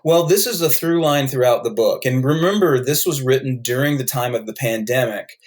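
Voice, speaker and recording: A man's voice: mid-range voice, normal speed. A man with a North Carolina accent, a guest author explaining his ideas in a podcast interview, thoughtful and full of restarts.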